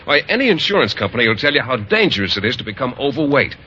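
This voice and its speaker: An actor playing an earnest, naive American biology teacher in an old radio sitcom, boyish and a bit hesitant. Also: male voice, medium pitch, sing-song, rapid-fire, bright, forceful tone. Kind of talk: 1940s-1950s American radio sitcom acting. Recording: muffled old radio broadcast, faint noise.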